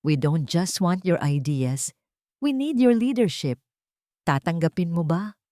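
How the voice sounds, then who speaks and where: A woman's voice: lowish voice, very animated, a little slow, mellow, no echo. A formal chief executive read by a woman narrator delivers a grand announcement in a story drama. The accent is Filipino, the phrasing declarative, the recording dry. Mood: formal, resolute. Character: formal male CEO making a grand public announcement.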